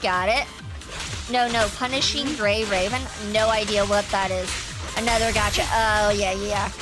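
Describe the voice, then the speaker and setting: A young woman streaming a game, chatting casually in rambling asides while her voice is going hoarse. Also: mid-range voice, fairly even intonation, normal speed, music in the background. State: losing her voice. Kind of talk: casual gameplay commentary.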